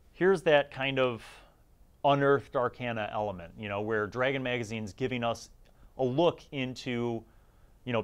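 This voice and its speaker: A middle-aged man giving casual, opinionated review commentary in an informal, conversational way as the host of a series. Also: mid-range voice.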